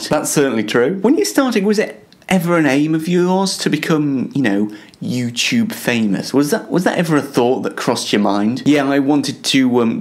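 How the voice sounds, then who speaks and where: A man chatting casually as a web show host, halting and self-deprecating as he talks. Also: mid-range voice. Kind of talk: casual self-interview chat.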